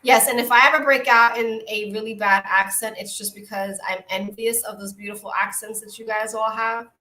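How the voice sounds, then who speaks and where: Chatty brooklyn lady doing card readings, kinda high voice, echoey room. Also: fairly even intonation, brisk. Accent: Brooklyn New York. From Brooklyn, New York. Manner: chatty, warm greetings to viewers, frequent hearty laughs. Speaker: oracle card reader hosting a live stream. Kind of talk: casual live-stream chat and card reading.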